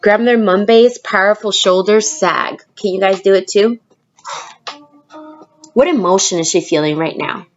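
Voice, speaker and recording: A woman teacher reading a picture book aloud expressively, pausing to prompt young listeners with questions. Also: mid-range voice, highs cut off, faint music behind. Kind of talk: storybook read-aloud with questions to children.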